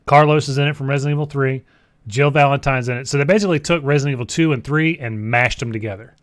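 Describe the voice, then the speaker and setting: A man's voice: mid-range voice, fast talker, no echo. A man with a mid-range voice talks casually as a gaming show co-host. He explains as he goes and criticises bluntly, recorded close and dry with no room sound. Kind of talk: casual explanatory trailer commentary.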